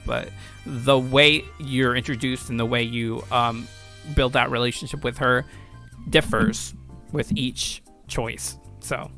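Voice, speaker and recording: Bright-voiced man rambling casually over music. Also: mid-range voice, steady tone, slow pace. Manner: rambling, informal, self-correcting. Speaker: game creator and video host. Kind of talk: casual game commentary.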